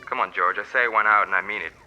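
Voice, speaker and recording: A man's voice: lowish voice, fairly even intonation, very fast, muffled recording, faint music behind, natural voice. An actor playing a laid-off man in debt who is evasive and then pleading, in an amateur short film. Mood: desperate.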